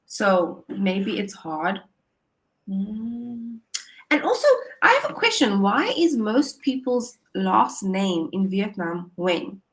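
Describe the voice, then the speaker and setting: A woman's voice: medium pitch, big pitch swings, slow pace, low-quality recording. A woman tutoring English over a video call, upbeat and encouraging, often laughing as she explains. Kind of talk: casual conversation lesson.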